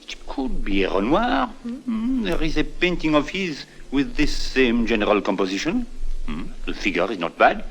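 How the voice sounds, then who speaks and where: An actor playing a courteous, shrewd French art expert with a formal manner and French accent, in 1950s radio drama. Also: male voice, medium pitch, sing-song, normal speed, fairly bright tone, husky, heavily acted. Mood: measured, appraising. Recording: noisy old 1950s radio recording.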